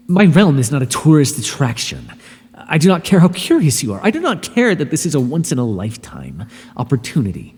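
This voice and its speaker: A haughty, irritable male god of the underworld, played by a man, scolds with dry sarcasm in a warm, mellow voice. His pitch swings widely from line to line. The sound carries a long reverb over faint ambient noise. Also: wildly swooping pitch, normal speed, husky, full character voice. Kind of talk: ASMR audio roleplay.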